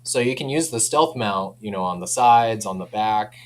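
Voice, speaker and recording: Male product rep, low voice, informal and enthusiastic, easy chuckler, recorded over a steady hum. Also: normal speed. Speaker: speaker company representative giving a product demo. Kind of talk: casual product chat. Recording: clear background noise with hum.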